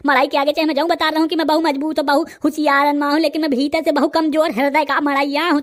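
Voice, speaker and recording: A gossipy cartoon villager acted by a man rambles in a Bundelkhandi accent. The voice is pitched up high and sounds artificial, with an earthy, exclamatory way of talking. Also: fairly bright tone. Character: excitable, gossipy male villager in a comedy cartoon. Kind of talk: rustic comedy cartoon dialogue.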